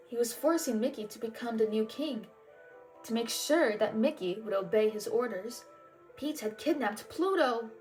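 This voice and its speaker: A woman reading a children's storybook aloud in a deliberate, expressive way, as the host. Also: a little high, poor audio. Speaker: storybook reader and channel host. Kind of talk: storybook read-aloud narration.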